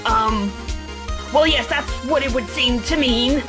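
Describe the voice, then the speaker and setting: A woman's voice: fairly high pitch, slow pace. A woman playing a feisty, crabby elderly security guard in an amateur fan dub of a game, talking in a folksy, scolding way. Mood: flustered. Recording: music playing behind the voice.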